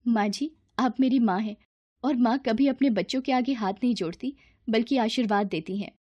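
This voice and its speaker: A woman voicing a gentle young daughter-in-law in a moral story, polite and earnest in manner. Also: fairly high pitch, moderate pace, no echo, lo-fi sound, close to an everyday voice. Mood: concerned, caring.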